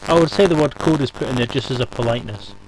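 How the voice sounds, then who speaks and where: A man's voice: medium pitch, expressive, fast, a little hiss or hum, poor audio. A middle-aged man hosting a livestream, chatting and bantering casually. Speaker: livestream host.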